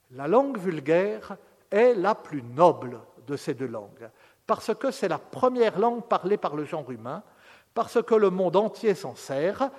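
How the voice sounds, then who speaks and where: A man giving an academic lecture, measured and pausing often with hesitations. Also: on the high side, darkish tone, long reverb tail.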